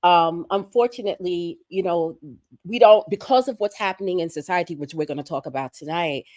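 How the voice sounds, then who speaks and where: A hoarse woman, sick with a respiratory virus, making earnest, emphatic points as a guest on a livestream conversation. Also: fairly low pitch, a little fast, a little mellow, no room sound. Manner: earnest, emphatic, building points through repetition. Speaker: guest commentator.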